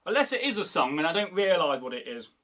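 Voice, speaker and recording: Bright-voiced rambling man. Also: on the high side, very animated, quick pace. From Derby, England. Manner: rambling, meandering, many fillers and restarts. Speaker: history channel host. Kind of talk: casual chat reading viewer comments.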